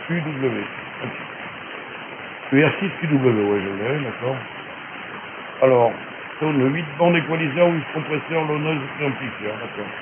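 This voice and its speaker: A man's voice: medium pitch, unhurried, pauses often. A man talking as an amateur radio operator over shortwave, giving casual technical advice in a chatty, colloquial way. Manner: colloquial, chatty explaining, frequent tag words. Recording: narrow hissy noisy shortwave radio transmission.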